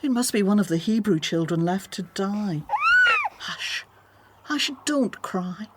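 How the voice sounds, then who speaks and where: Willful young royal woman, commanding and animated, mid-pitched, radio drama. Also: slow pace, slight background noise. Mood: excited, tender. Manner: commanding, haughty. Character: imperious, willful Egyptian princess, softening to babies.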